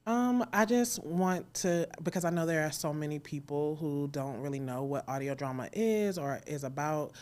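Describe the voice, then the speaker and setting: A woman's voice: sits low, expressive, moderate pace, few pauses, a little mellow. A woman speaking casually as an interview guest, in an informal, encouraging way. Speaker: interview guest, audio drama creator.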